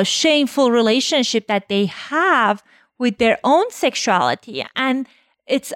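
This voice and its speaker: Female therapist from Iran, measured and thoughtful, fairly high voice, dry sound. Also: fairly bright tone, no room sound. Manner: thoughtful, measured, with occasional uh fillers. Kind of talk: conversational podcast chat.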